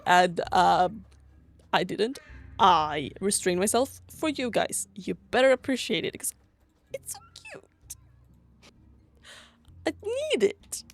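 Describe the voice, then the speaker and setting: A woman's voice: medium pitch, slightly rough. A woman from Sweden chatting casually over gameplay in a gushing, rambling way, sounding a bit tired. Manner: gushing over cute things. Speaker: gaming vlogger.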